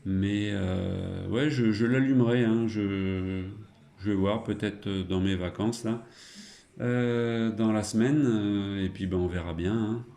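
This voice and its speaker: A man's voice: fairly low pitch, takes it slowly, vocal fry, dark tone. A middle-aged man chatting casually and colloquially as an amateur radio operator. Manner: chuckling, colloquial, trailing tag words. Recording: roomy, echoing room sound.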